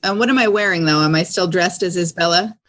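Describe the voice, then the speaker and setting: A woman's voice: fairly low pitch, fast, a bit bright. A woman playing a tabletop game and talking casually at the table.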